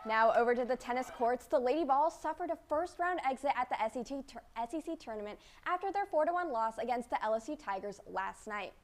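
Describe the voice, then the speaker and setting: A young woman reading sports news as a student anchor, with a crisp, upbeat delivery. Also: sits high, animated, fairly quick, few pauses. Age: young adult. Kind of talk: read news script.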